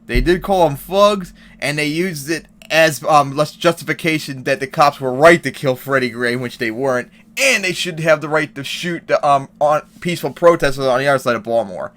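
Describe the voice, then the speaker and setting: A man's voice: fairly high pitch, moderate pace, hardly any pauses, a bit bright. A man giving heated, profane commentary on an article and news clips, reading passages aloud and reacting. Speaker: commentary vlogger.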